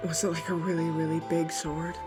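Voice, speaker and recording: A woman's voice: deep voice, level tone, fairly quick, music in the background, close to an everyday voice. A woman voicing an earnest, friendly young female adventurer in conversational video game dialogue. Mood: teasing.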